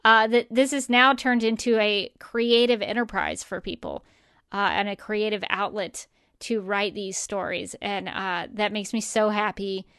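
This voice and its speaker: A woman's voice: medium pitch, steady tone, measured pace, fairly bright tone, clean tone, no echo, clean studio sound. A woman co-hosting a fan podcast, chatting casually in an amused, enthusiastic way. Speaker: podcast co-host.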